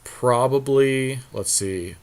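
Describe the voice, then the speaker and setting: A man co-hosting casual card game commentary, thinking through his plays aloud in a low-key, matter-of-fact way. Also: slow pace, darkish tone. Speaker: podcast co-host playing a card game.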